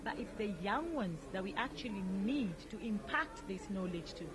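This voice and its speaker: A woman's voice: mid-range voice, lively intonation, normal speed. A middle-aged woman interviewing a guest at a community event, chatty and encouraging, often repeating words for emphasis. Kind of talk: casual street interview. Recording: outdoor recording with crowd noise. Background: crowd chatter.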